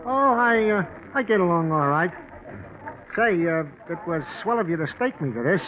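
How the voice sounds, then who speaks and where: An actor playing a shabby middle-aged tramp, meek yet wary, with hesitant, folksy, slangy speech in 1940s radio drama style. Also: male voice, sits high, animated, normal speed, crowd noise. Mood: grateful, awkward. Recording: tinny, noisy 1940s radio recording.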